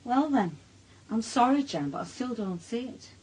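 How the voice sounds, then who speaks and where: An actress playing a shrewd, suspicious wife with a Northern English accent and a dry, bitter wit in a naturalistic radio drama, speaking in a pointed, probing way. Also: female voice, fairly high pitch, lively intonation, normal speed, darkish tone, breathy edge, low-quality recording.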